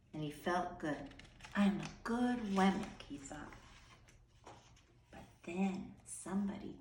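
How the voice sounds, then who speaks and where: A woman reading a children's story aloud expressively, with dramatic emphasis and some hushed phrases. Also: mid-range voice, echoey room. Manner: animated, dramatic, dropping into hushed breathy phrases.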